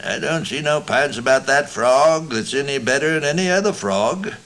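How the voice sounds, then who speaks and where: A man voicing a doubting, deliberate stranger in an Old West tale, with an American accent and folksy drawl. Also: mid-range voice, animated, fairly bright tone, voice pushed up, close to an everyday voice. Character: deliberate, doubting stranger gambler in a mining camp. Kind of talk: Old West storybook reading.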